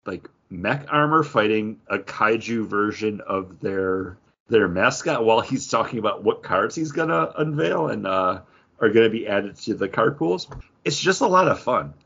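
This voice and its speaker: Low-voiced, enthusiastic man hosting casual chat. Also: lively intonation, moderate pace, creaky, a bit bright, slightly rough, highs cut off. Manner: enthusiastic, rambling. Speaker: podcast host. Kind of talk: casual chat about games.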